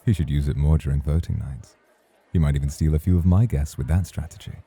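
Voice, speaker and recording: A man's voice: very deep voice, fairly even intonation, fast talker, warm tone, slightly rough, heavily acted. A man playing a suave, patient nightclub host in an ASMR roleplay, speaking in a formal, courtly, flirtatious way. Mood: suave, intrigued. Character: suave, patient male nightclub host, teasing rival charmer.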